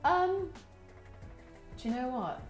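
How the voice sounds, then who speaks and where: A woman's voice: high-pitched, sing-song, slow pace. A woman answering interview questions in a hesitant, self-reflective way. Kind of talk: candid interview. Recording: roomy echo with faint music.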